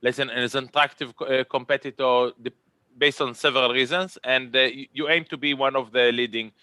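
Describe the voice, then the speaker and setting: A man's voice: medium pitch, fairly even intonation, brisk, pushed and bright, clean tone, dry recording. A man with an Israeli accent moderating a virtual conference panel, chatty and rambling, often repeating words.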